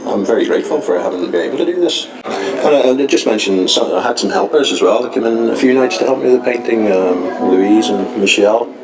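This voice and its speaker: A man's voice: mid-range voice, expressive, brisk, rough recording. A middle-aged man with an Irish accent explaining his work in an informal interview, hesitant and often adding "you know". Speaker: pantomime scenery painter. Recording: loud music behind the voice. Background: loud music.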